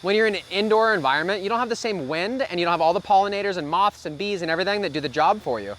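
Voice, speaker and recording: A man's voice: sits high, animated, rapid-fire, fairly bright tone. Guy co-hosting a farm q&a, down to earth, explains stuff plainly, faint background noise. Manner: practical, folksy explainer. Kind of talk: casual explanatory Q&A.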